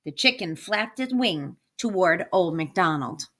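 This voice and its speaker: Slow, very expressive woman reading a storybook. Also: fairly low pitch. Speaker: teacher reading a picture book aloud. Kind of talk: expressive children's storybook narration.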